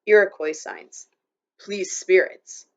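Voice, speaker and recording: A proud, stern little warrior man barks short, clipped commands, as a woman narrator puts him on. He speaks loudly and bluntly, in broken phrases, on a slightly dull storybook recording. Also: medium pitch, fairly even intonation, slow.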